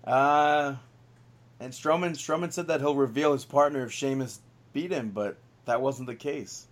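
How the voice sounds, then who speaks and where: A man's voice: mid-range voice, unhurried. A man co-hosting a casual wrestling podcast, rambling and joking with lots of fillers. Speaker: podcast co-host.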